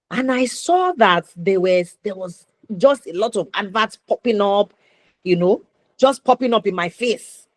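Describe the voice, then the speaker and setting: A woman with a Nigerian accent hosting an interview show, speaking in deliberate, emphatic phrases and posing rhetorical questions. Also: lowish voice, no room sound. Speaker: talk show host.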